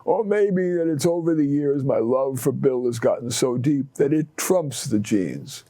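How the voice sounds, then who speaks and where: Man reading as a devoted old dog, mellow voice. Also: mid-range voice, lively intonation, a little slow, no room sound, close to an everyday voice. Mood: wistful, plain-spoken. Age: older. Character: tired old male dog, devoted and tender storyteller. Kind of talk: first-person short story reading.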